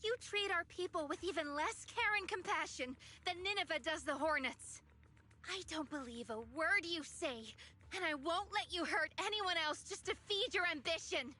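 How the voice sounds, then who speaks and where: A woman's voice: extremely high pitch, normal speed, bright, forceful tone. An actress playing a principled young video game heroine who speaks with earnest, resolute defiance to protect her people. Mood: indignant, resolute. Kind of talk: dramatic video game voice acting.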